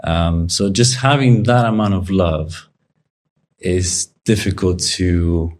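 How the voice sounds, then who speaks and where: A young man who grew up in Cyprus, whose first language is Bulgarian, talking through his story in a relaxed, reflective way with lots of fillers. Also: sits low, slow. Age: young adult. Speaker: guest. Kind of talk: casual interview conversation.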